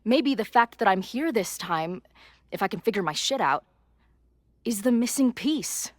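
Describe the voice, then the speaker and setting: A woman's voice: medium pitch, animated, a little slow, no echo. An actress playing a brash, sardonic young woman in a video game drama, blunt and slangy with a vulnerable streak. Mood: sardonic, defiant.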